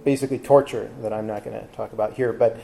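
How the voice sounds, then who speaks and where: A man giving a casual live talk to an audience, relaxed and hesitant, with frequent ums. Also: on the low side, fast, vocal fry. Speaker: travel talk presenter. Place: live talk before a seated audience with drinks.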